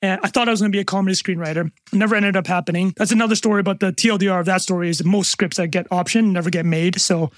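A man in his thirties with a Canadian accent, a podcast guest telling his story with quick jokes and self-deprecating asides. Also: high-pitched, fairly even intonation, no room sound. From North America. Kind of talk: casual podcast interview.

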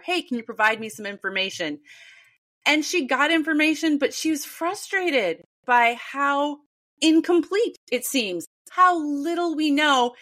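Animated woman in her fifties. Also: high-pitched. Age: 50s. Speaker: podcast co-host. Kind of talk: casual podcast chat and read ad.

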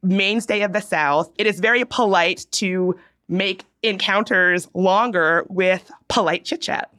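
Young southern-raised woman cohosting a podcast, lively and opinionated, mid pitch. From Virginia, US South. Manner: animated, opinionated, drawn-out storytelling. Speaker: podcast co-host. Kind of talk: casual chat.

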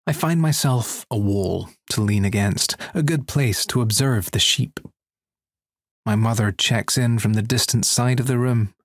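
A man's voice: fairly low pitch, breathy edge, no room sound, clean studio sound. A man working as an audiobook narrator, reading a scripted first-person erotic story.

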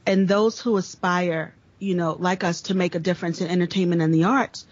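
A woman's voice: lowish voice, fairly even intonation, fairly quick, lo-fi sound. A woman co-hosting a podcast, earnestly building a long question for the guest. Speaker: podcast co-host. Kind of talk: interview question.

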